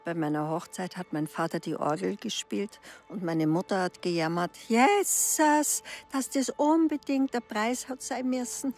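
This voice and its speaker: Mother figure, mid-pitched, reminiscing in radio drama, soft music beneath. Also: measured pace, few pauses. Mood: reminiscing, mimicking. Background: faint music.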